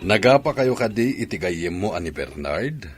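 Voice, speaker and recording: Guy playing nosy kind coach, ilocano accent, low voice with lots of swing, radio play. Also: big pitch swings, moderate pace, faint music behind. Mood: kindly, inquisitive. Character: kindly, inquisitive male coach or teacher. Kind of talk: radio drama acting.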